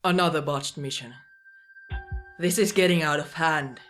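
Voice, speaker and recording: A performer playing a stern, composed agency commander in a fan-made anime audio drama, speaking formally and with measured authority. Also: mid-range voice, loud music behind the voice, lightly acted.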